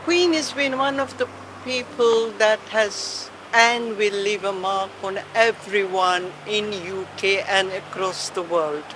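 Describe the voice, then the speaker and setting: A man from Uganda, reminiscing warmly in a street interview, at times halting over his words. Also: sits high, noisy background. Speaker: member of the public.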